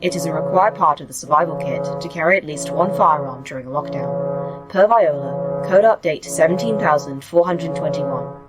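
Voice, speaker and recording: An actress playing a literal-minded, rule-bound facility A.I., speaking with formal, measured precision in a sci-fi horror audio drama. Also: female voice, sits low, loud music behind the voice, lightly acted. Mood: firm, unyielding.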